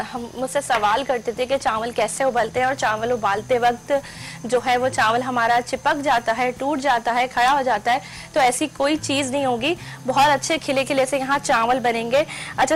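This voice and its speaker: A middle-aged woman with a high voice walks viewers through a recipe on a studio kitchen set, speaking into a lapel mic. She explains each step in a chatty, instructive way, with faint noise behind her. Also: fairly bright tone, poor audio. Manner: chatty, instructive, mixes in English terms. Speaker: cooking show host. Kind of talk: step-by-step cooking demonstration.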